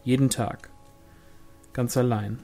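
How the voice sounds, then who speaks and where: A man's voice: mid-range voice, fairly even intonation, slow pace, creaky, mellow, faint music behind. A man reading a novel aloud as scripted audiobook narration. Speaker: audiobook reader.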